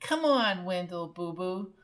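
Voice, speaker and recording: A man's voice: sits high, lively intonation, slow, a bit bright, voice pushed up, full character voice. A man voicing a brassy, gum-chewing blonde woman with a taunting, flirty manner.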